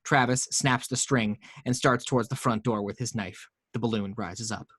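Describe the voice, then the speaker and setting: A man reading the action lines of a screenplay aloud, steady and even with small quick stumbles. His voice sits in the middle of a man's range. The sound is dry and close, on a home microphone. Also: medium pitch, husky, dry recording.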